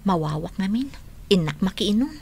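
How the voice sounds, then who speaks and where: A woman's voice: medium pitch, animated, normal speed, a little mellow, a little hiss or hum, natural voice. A woman playing a devoted, polite young daughter in a radio drama, with an Ilocano accent.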